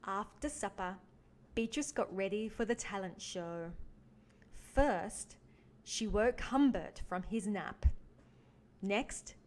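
Slow-paced woman reading a children's storybook. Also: mid-range voice, animated, darkish tone. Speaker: teaching artist reading aloud. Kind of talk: animated children's storybook read-along.

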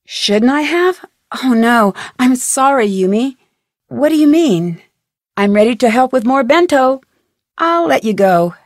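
A woman's voice: high-pitched, animated, a little slow, no echo, studio quality. A woman playing an inquisitive, friendly young female detective in a video game, speaking in an earnest, conversational way. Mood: polite. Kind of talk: scripted video game dialogue.

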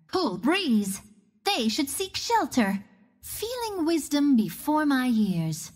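A woman's voice: high voice, heavily acted. A woman voicing a cocky, playful young archer of the wind in a video game, with a confident, teasing manner. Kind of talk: video game character voice lines.

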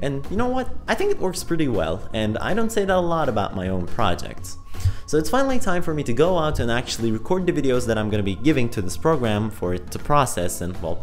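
A young man hosting a scripted vlog about his coding project, talking into a close handheld mic in a self-deprecating, dryly joking way. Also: mid-range voice. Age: young adult. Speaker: video creator, host. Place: home room, indoors, mostly. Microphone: handheld microphone held close.

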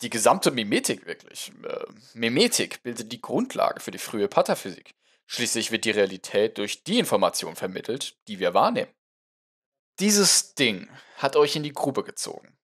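Male reader as audiobook scientist; lively intonation, mid-range, nerdy, testy, explanatory. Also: mid-range voice, normal speed. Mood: lecturing. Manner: dry, lecturing. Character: exasperated, nerdy male researcher. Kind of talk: audiobook character acting.

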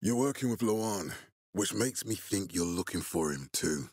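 A commanding male militia leader from a video game. His voice is low and rough-edged, recorded very dry. He speaks deliberately, with stern resolve and a fatherly authority. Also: animated, a little mellow, no room sound, exaggerated voice. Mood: earnest, determined.

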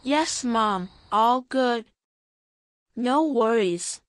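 A woman's voice: a little high, expressive, extremely slow, no echo. A woman voicing an eager, helpful young boy in a children's story, with an earnest, childlike manner.

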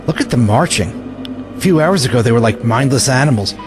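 A man's voice: mid-range voice, lively intonation, a little fast, over music, close to an everyday voice. A man playing a brave, earnest young soldier in a war audio drama. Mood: tense. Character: brave young army private, earnest, self-sacrificing.

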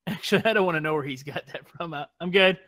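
A man's voice: a little high, fast talker, a bit bright, dry recording. A young man making playful, casual banter with friends during a game. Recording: game music behind the voice.

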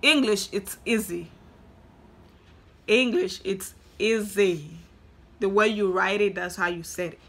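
A woman's voice: medium pitch, slow, pauses often. A young woman from Tanzania, chatting to camera in a small room and repeating things for emphasis. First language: Swahili. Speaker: vlogger. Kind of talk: casual talk to camera.

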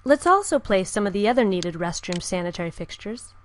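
A woman giving calm, scripted step-by-step narration for a software tutorial. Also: mid-range voice, fairly quick, a little hiss or hum. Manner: calm, even, step-by-step instruction. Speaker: software instructor. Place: voice-over with screen recording.

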